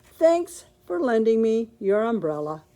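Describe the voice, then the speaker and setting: A fussy, hurried lady of the house in a storybook, read aloud by a woman. She talks briskly, a little higher than the narrator's own voice, and sounds slightly flustered. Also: big pitch swings, dark tone. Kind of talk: picture book read aloud.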